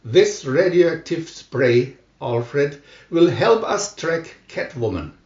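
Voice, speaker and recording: Man acting a commanding costumed hero, animated delivery. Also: on the high side, takes it slowly. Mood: resolute. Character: commanding male costumed crime-fighting hero, resolute. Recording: dull lo-fi, roomy echo.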